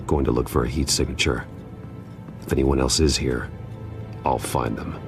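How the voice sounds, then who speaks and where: Brooding crime-fighter for a video game: rock-bottom pitch, heavy gravel, protective, measured delivery. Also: male voice, fairly even intonation. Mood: grim, focused. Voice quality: gravelly, very deep growl. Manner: terse, deliberate. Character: grim masked male vigilante detective, protective. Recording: music behind the voice.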